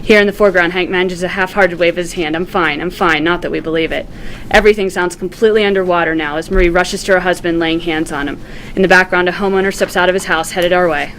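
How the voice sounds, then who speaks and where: A woman's voice: on the low side, steady tone, fast, a bit bright, a little hiss or hum, rough recording. A woman reading screenplay stage directions aloud as scripted narration, in a vivid storytelling manner.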